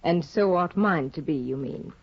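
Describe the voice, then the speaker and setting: An actress playing a cold, disdainful aristocratic young wife in a 1950 radio drama, cool and clipped, on a dull old broadcast recording. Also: female voice, low voice, moderate pace. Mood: cutting. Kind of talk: 1950s radio drama acting.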